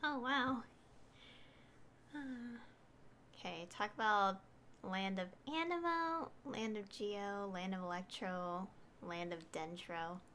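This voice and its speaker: A woman's voice: medium pitch, slow, fairly bright tone, slight background noise. A woman streaming a video game, casually commenting and reading choices out. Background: music.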